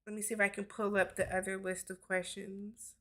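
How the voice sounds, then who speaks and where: A woman's voice: medium pitch, little pitch movement, brisk, fairly bright tone. A woman in her forties from Wisconsin, hosting a casual livestream Q&A, chatting loosely, laughing often and reading viewer comments aloud. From Milwaukee, Wisconsin. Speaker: YouTube host.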